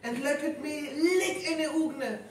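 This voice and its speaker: A woman acting a terrified, excitable eyewitness in a live North Frisian radio play, theatrical and halting with stammers. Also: high voice. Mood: shaken. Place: live performance in a hall. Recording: echoey hall, distant, some noise.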